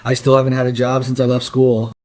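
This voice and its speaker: An actor playing a defiant, resentful young out-of-work suspect in a crime radio play, sullen and evasive. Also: male voice, mid-range voice, completely flat delivery, fast, warm tone, natural voice. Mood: bitter, resentful. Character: defiant, resentful young unemployed working-class male suspect.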